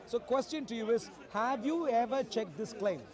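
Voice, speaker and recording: A man's voice: very high-pitched, expressive, normal speed, music in the background. A man in his forties debating religion off the cuff in the street, insistent, repeating his questions and talking over his opponent. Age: 40s. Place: outdoors at a public park speakers' corner. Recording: outdoor street recording with crowd noise.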